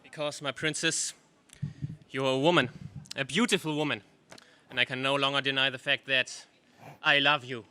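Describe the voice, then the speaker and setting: An actor playing a gallant, earnest prince in a melodramatic space serial radio play. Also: male voice, medium pitch, steady tone, moderate pace, pauses often, bright, forceful tone, clear, resonant tone. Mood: ardent. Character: gallant, lovestruck heroic prince.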